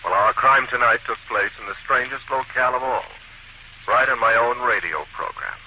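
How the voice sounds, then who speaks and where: An actor playing a genial, composed gentleman detective who narrates cases in a 1940s radio drama. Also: mid-range voice, moderate pace, close to an everyday voice. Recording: dull, noisy old radio broadcast.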